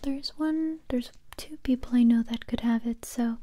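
A young woman performing an ASMR roleplay in a soft, breathy whisper close to the microphone, gentle, hesitant and unhurried. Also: on the high side. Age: young adult.

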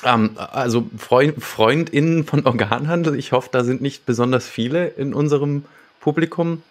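A man's voice: mid-range voice, moderate pace. A man co-hosting a podcast in a conversational, partly prepared style, speaking close to a desktop microphone at home. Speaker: podcast co-host. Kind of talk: conversational podcast talk with prepared plot summaries. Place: small home room with shelves.

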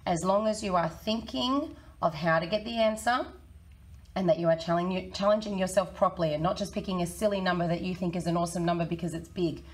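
Croaky-voiced woman teaching kids maths. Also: mid-range voice. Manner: friendly, encouraging, talks to kids. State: hoarse, croaky voice. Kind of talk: children's maths lesson. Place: small indoor room.

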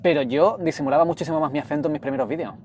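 Fast-talking young Andalusian man. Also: on the high side, a little mellow, a little hiss or hum. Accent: Andalusian, softened, from Jerez. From Andalusia, Spain. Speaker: guest. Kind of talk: casual chat. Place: inside a car.

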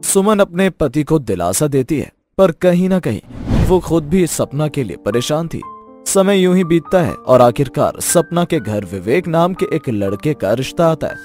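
A man's voice: fairly high pitch, big pitch swings, measured pace, a little mellow, soft background music. A man giving scripted narration of a moral story.

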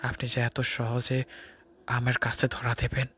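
A man playing a stern, commanding investigator with a cold, vengeful streak in an audio drama, speaking deliberately. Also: mid-range voice, monotone, a bit bright, natural voice. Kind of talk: dramatised audio love-crime story. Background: music.

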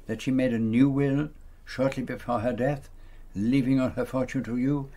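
An actor playing a courteous, shrewd Belgian detective in a British radio play, questioning in a formal, probing way. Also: male voice, mid-range voice, fairly even intonation, normal speed, dark tone, lightly acted.

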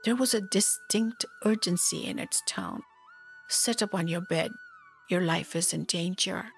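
A woman reading a scripted spiritual memoir aloud in a measured, solemn manner. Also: fairly low pitch, creaky. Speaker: spiritual teacher, narrator. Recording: music behind the voice. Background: music.

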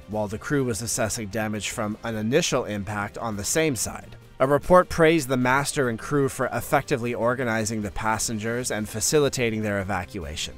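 A man's voice: medium pitch, fairly bright tone. Man narrating a countdown documentary. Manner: measured, informative delivery with light asides. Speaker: list video narrator. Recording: faint music bed behind voice.

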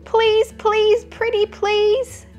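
Woman putting on a whiny, melodramatic storybook tortoise, high-pitched. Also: very animated, takes it slowly, a bit bright, soft background music, full character voice. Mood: begging. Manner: talkative, wheedling, dramatic. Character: vain, chatty, melodramatic male tortoise, pleading and whiny.

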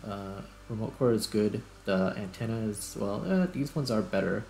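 A man giving casual gameplay commentary as host, thinking aloud in broken, halting phrases. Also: lowish voice, gravelly, darkish tone, slight background noise. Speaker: gaming video host. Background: music.

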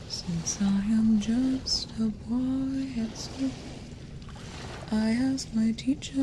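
Young woman whispering lullabies super slow, kinda high voice, close binaural mic, some hiss behind. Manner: soft whispering, sings lullabies quietly. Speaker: ASMR host. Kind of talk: whispered ASMR singing.

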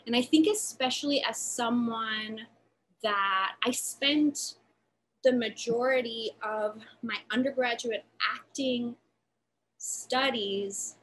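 A woman's voice: a little high, takes it slowly, a bit bright, slight background noise, poor audio. A woman in her thirties from Puerto Rico, giving a lecture over a video call from a room at home, talking thoughtfully with lots of "like" fillers. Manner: thoughtful, gestures a lot, many "like" fillers. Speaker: artist lecturer. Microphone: laptop webcam, video call.

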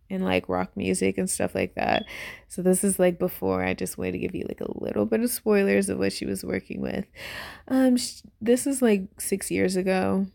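A woman in her twenties giving a casual, unscripted review in a rambling, informal way, with lots of fillers and heavy vocal fry. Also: mid-range voice, mellow. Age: 20s. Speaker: YouTuber reviewing a webtoon. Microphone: voice-over on a phone screen recording.

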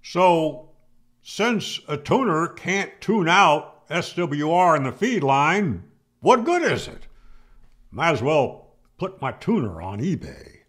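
Older man teaching, animated but unhurried. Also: on the high side, slightly rough. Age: older, 60s or 70s. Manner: emphatic, plainspoken, poses and answers his own questions. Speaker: amateur radio instructor, host. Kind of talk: explanatory tutorial to camera. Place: home room, indoors. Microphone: studio microphone on boom arm, close.

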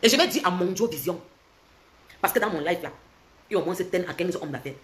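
A woman in her forties with a Cameroonian French accent, ranting on a phone livestream, switching between loud mockery and low, intense lecturing. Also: lively intonation. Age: 40s. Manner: fast and confrontational, swings from loud mocking to low intense lecturing. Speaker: social media commentator. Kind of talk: heated livestream rant. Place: indoors, bright room. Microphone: phone held close.

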